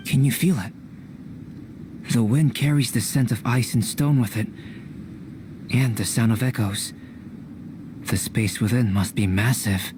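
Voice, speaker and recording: Man acting calm young alchemist, scholarly, aloof, deliberate formal phrasing, average pitch, anime fantasy game, noticeable background ambience. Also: background noise, close to an everyday voice. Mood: cautious, composed.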